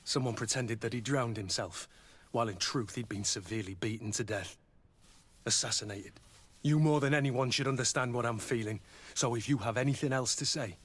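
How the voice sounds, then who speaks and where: A man's voice: mid-range voice, brisk, a bit bright, slightly rough. A man playing a grim, blunt guard captain in a video game. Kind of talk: video game dialogue acting.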